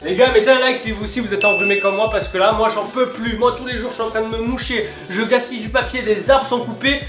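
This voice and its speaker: An upbeat young man with a high voice and a stuffy cold, hosting an unboxing vlog and talking straight to his viewers. He is recorded from a few steps away in a small, echoey kitchen. Music plays clearly underneath. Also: steady tone, fast talker. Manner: hyped, joking, talks straight to viewers. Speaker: YouTube host. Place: small bright home kitchen. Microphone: camera mic, standing a few steps back. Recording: echoey room with background music.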